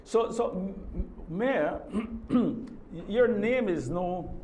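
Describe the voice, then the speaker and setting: A man's voice: sits high, animated, a little hiss or hum. A man hosting a live morning TV show in a studio, talking casually with guests and often repeating words as he goes. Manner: halting, repeats words, warm welcomes.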